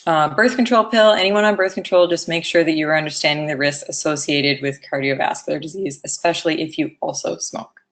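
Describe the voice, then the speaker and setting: A woman presenting a health webinar in a casual, conversational way, with a low, rather lethargic-sounding voice and frequent ums. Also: fairly even intonation, brisk, few pauses. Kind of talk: educational webinar talk.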